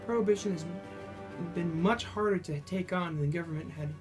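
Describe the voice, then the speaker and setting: A man's voice: high voice, a little fast, over music. A man formally reading a scripted news report as a correspondent.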